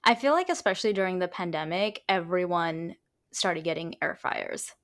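A woman's voice: on the low side, moderate pace, fairly bright tone. A woman speaking as a podcast host, introducing the show and interviewing a guest.

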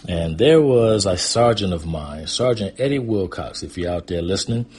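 A man's voice: low-pitched, animated, normal speed, darkish tone, slightly rough. An American man, around his fifties, tells stories from his own life in a casual, rambling, conversational way. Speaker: guest storyteller. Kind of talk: casual autobiographical storytelling.

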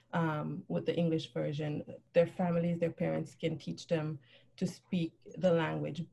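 Podcast guest: low, husky woman, thoughtful and earnest. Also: warm tone. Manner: earnest, reflective, frequent ums. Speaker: children's book author, guest. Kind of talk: casual podcast chat.

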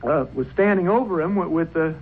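Casting: jittery young witness, stammering and deferential, fairly high voice, tinny old radio recording. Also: male voice, brisk, husky, faint music behind. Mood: nervous, unsure. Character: nervous, stammering young man, a hesitant witness. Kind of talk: old-time radio drama acting.

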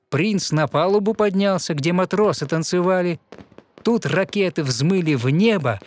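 A man narrating a fairy tale in verse with expressive, rhythmic recitation. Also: fairly high pitch. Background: music behind the voice.

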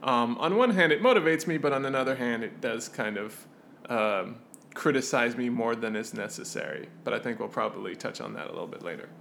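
Podcast co-host, male. Bright, punchy tone, casual teasing delivery with stop-start phrasing; faint noise behind. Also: mid-range voice, lively intonation, vocal fry. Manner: joking, teasing, halting. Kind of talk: casual chat.

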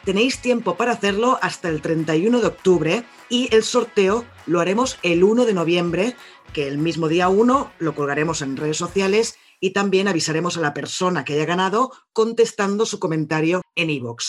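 A high-pitched man with a Spain Spanish accent hosts a casual film podcast. He talks in a chatty, easy way, steering his co-hosts along. Faint music plays under his voice. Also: expressive, a little fast. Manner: chatty, runs the show and announces a giveaway. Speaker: podcast host.

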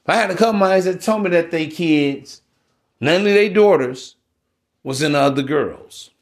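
A man's voice: fairly high pitch, normal speed. A man with an American accent giving an informal, blunt monologue to listeners, slangy and preachy, repeating himself for emphasis. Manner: emphatic repetition. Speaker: podcast host.

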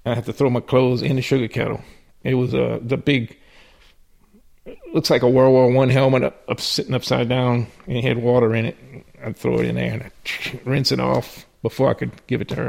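A man's voice: medium pitch, level tone, brisk, vocal fry, darkish tone. An older man with a Cajun accent, telling folksy stories from his life with frequent restarts and chuckles. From southern Louisiana. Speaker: interviewee. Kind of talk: personal storytelling.

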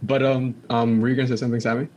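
A man's voice: mid-range voice, little pitch movement, racing, a little mellow. A young man from Indonesia co-hosting a casual podcast, telling stories in a rambling way with lots of "like" and laughter. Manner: rambling, heavy filler "like", laughs often. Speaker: podcast co-host. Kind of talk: casual chat.

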